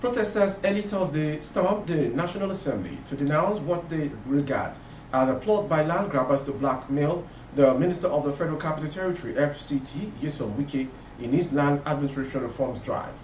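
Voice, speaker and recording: A man's voice: fairly high pitch, a little fast. A Nigerian man reads the news from a script in a formal, declarative way. His voice reaches us through a dull recording, with plenty of room echo and a faint layer of noise behind him. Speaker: news reader. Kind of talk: scripted news bulletin read aloud. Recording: roomy, muffled, distant, faint background noise.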